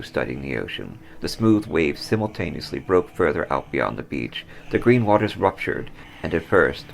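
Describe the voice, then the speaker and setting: A man narrating a scripted story dramatically, with solemn, deliberate emphasis. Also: deep voice, creaky, slight background noise, low-quality recording. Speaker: author reading own story.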